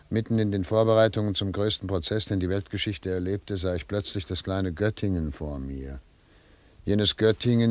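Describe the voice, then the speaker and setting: A man's voice: lowish voice, no echo, close to an everyday voice. A man playing a brusque, cynical investigator in a German radio drama, speaking with sardonic, clipped authority. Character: brusque, cynical male investigator, sceptical and commanding. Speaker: investigator and narrator.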